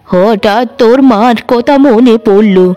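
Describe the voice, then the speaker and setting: A woman voicing a gentle, motherly old beggar woman in a cartoon, with drawn-out, affectionate speech. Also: a little high, dull audio, pitched below the performer's own voice. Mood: kindly, earnest. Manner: slow, drawn-out calling and affectionate address.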